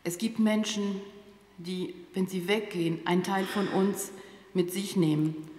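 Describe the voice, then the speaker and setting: A woman giving a solemn interpretation of a eulogy at a stand microphone, in careful, slightly halting short phrases. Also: mid-range voice, fairly even intonation, darkish tone, slight background noise. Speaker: consecutive interpreter. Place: theatre stage. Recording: reverberant large hall.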